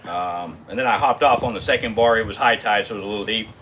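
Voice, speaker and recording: A man from Germany, telling a fishing story in a relaxed, casual way with many fillers. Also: on the low side, fast talker, a little hiss or hum, poor audio. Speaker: guest angler and bakery owner.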